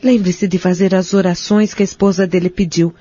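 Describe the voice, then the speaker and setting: A woman playing a calm, devout caretaker at a care home in an audio drama, with a Brazilian accent and a formal, reassuring way of talking. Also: fairly low pitch, fairly quick, no room sound, natural voice. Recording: dull lo-fi audio, music behind.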